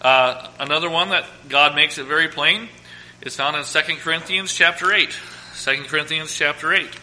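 A man preaching a sermon as pastor, hoarse from a cold, reading scripture slowly and with emphasis. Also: a little high, sharp tone, a little hiss or hum, rough recording. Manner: reads scripture slowly and emphatically, asks congregation to quote verses.

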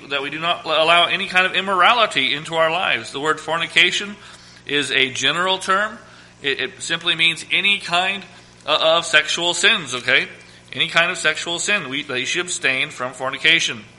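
Hoarse preacher with a cold. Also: male voice, on the high side, pushed and bright, low-quality recording. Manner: reads scripture slowly and emphatically, asks congregation to quote verses. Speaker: pastor. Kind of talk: sermon.

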